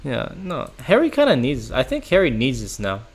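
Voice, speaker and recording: Rambling young man with a gravelly voice. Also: medium pitch, wildly swooping pitch, normal speed. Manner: rambling, lots of "like" and "bro", laughs often. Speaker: streamer. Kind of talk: casual livestream chat reacting to chat.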